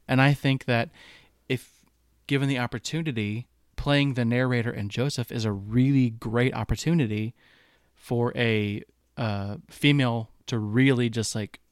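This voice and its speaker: A man in a relaxed podcast conversation, talking loosely with many fillers and often asking "right?" to check for agreement. His voice sits at a middle pitch. The sound is dry and close. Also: measured pace, dry recording. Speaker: podcast co-host. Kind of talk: casual chat.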